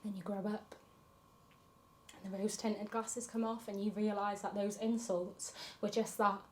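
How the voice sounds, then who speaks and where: An actress plays a grieving granddaughter. She speaks at a middle pitch in a reflective, confessional film monologue. The location sound is noticeably noisy. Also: level tone, normal speed, close to an everyday voice. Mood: wry, reflective. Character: grieving granddaughter reflecting on her fractured family. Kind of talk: dramatic monologue in a short film.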